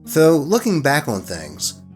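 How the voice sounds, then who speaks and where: A man narrating stories in an expressive, colloquial way, with casual commentary mixed in. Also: fairly high pitch, takes it slowly, faint music behind. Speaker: host reading online tabletop horror stories.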